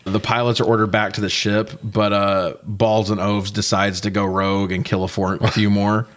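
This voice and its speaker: A man with a fairly low-pitched voice co-hosts a casual movie podcast. He tells the story loosely with jokes and plenty of profanity, getting worked up when something riles him. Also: normal speed. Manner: profane, jokey, animated when riled. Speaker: podcast co-host. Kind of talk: casual movie recap chat.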